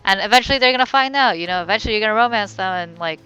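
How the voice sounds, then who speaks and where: A woman's voice: mid-range voice, very fast, sharp tone, clean tone, dull audio, soft background music. A woman giving casual let's play commentary, rambling and thinking aloud in a wry way. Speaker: gamer, let's play host.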